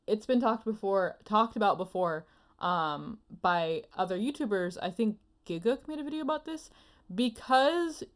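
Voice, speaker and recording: A woman with a mid-pitched voice talks casually as a podcast co-host. She is effusive and leans hard on her words. Her thoughts ramble along in an opinionated, conversational flow.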